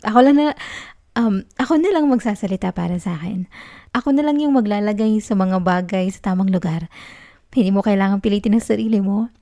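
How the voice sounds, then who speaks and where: A woman plays a possessive young Filipino girlfriend who refuses to let go. Her voice is soft and mellow, with a creaky fry in it, recorded very dry and close. She pleads tenderly in an intimate ASMR roleplay. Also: mid-range voice, brisk, no echo, lightly acted. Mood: controlling, tender. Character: clingy, possessive young student girlfriend in denial.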